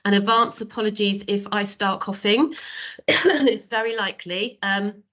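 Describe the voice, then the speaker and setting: A woman speaks with a stuffy, nasal sound from a cold. She presents as a professor over a video call. Her delivery is measured and explanatory. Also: mid-range voice, bright, forceful tone. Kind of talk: online webinar presentation.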